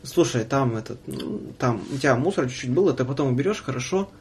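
A man's voice: mid-range voice, lively intonation, a little fast, husky. A man in his twenties hosting a casual podcast chat, playfully telling anecdotes and quoting the people in them. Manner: playful, quotes others in his stories. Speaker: podcast host, student train conductor. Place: podcast studio.

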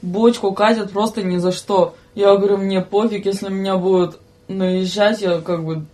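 Young woman, podcast guest, medium pitch, casual storytelling, slangy, expressive, quotes others in direct speech. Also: normal speed. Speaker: podcast guest, train conductor.

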